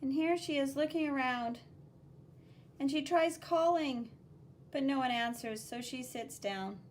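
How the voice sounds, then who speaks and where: High-voiced woman reading slowly to children. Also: a little hiss or hum. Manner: simple, instructive, sing-song emphasis on key words. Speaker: art teacher reading a picture book. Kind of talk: expressive read-aloud with drawing commentary for children.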